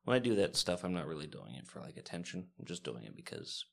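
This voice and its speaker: A man playing in a tabletop game, casually describing his moves in a halting, low-key way. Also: big pitch swings, vocal fry, a bit bright, slight background noise. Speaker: player in a tabletop game podcast.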